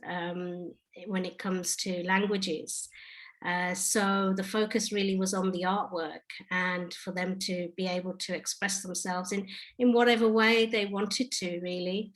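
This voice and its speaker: Woman from the UK, artist, Q&A on a video call, flat even delivery, halting with lots of fillers. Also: medium pitch, rough recording. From United Kingdom. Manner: hesitant, many fillers and restarts. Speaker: artist and project lead. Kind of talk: answering audience questions on a webinar.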